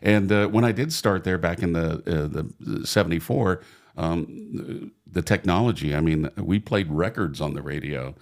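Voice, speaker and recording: A man's voice: deep voice, normal speed. A man in his sixties from Oregon, a longtime radio broadcaster, talking casually as a guest, self-deprecating, laughing easily and repeating words as he goes. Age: 60s. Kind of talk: casual interview talk.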